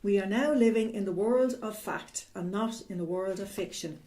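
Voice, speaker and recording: A woman's voice: medium pitch, moderate pace, mellow, rough recording. A woman from Ireland with an Irish accent, reading a formal, declarative statement as a guest. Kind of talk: prepared statement.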